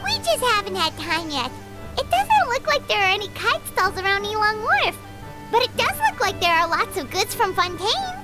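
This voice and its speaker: A tiny fairy sidekick from an anime game, with a very high, squeaky, childlike voice. The delivery swoops about, chirpy and bossy, prone to whining. Prominent music plays behind. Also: female voice, sing-song, moderate pace. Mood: chirpy, curious. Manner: speaks of self in third person, exclamatory.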